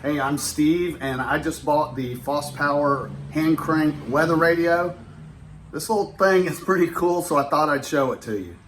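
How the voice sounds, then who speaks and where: A man's voice: fairly high pitch, moderate pace. Male American product reviewer, casual hands-on demo delivery, some faint background noise. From coastal North Carolina, US. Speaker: product reviewer presenting to camera.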